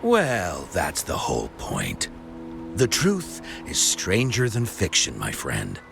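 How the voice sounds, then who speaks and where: A theatrical storyteller of old legends in a video game. His very low voice swings widely as he speaks in a measured, mysterious way. Music plays clearly behind him. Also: huge pitch swings, exaggerated voice. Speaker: storyteller character.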